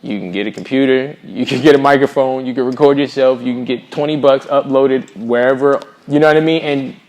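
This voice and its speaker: A man's voice: medium pitch, steady tone, fairly quick, darkish tone. A middle-aged man from Boston with an American accent, speaking as a singer-songwriter in a casual interview, earnest and reflective with plenty of slang. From Boston, USA.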